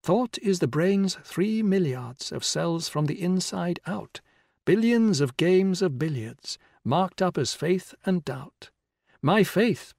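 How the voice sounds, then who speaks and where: Storybook puppet: male narrator plays a grave stage girl, measured chanted delivery, pitched up. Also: creaky, a little mellow, dry recording, studio quality. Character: girl puppet singing solemn verse on stage.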